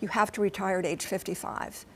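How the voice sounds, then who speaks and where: A woman's voice: medium pitch, steady tone, a little fast. An American woman giving a conversational live TV news report as a correspondent, explaining things emphatically in short, clipped phrases. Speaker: TV transportation correspondent and pilot.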